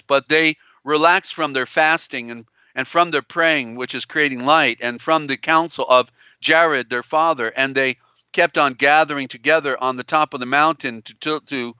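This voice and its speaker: Male stream host, bright clear tone gone strained and tired, halting and rambling, dry slightly dull audio. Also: mid-range voice, no room sound. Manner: halting, meandering delivery with many restarts. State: strained, tiring voice. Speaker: live show host. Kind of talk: live-stream lecture on old scriptures.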